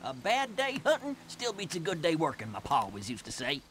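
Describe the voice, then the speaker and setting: A cheerfully lawless rural American hunter for a video game. His folksy talk is high and bouncy, with clear noise behind it. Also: wildly swooping pitch, fairly quick. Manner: folksy, easygoing. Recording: background noise with clicks.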